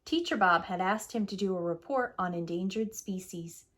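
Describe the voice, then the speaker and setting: A woman's voice: medium pitch, normal speed, a bit bright. A woman preschool teacher narrating a children's picture book as a storyteller.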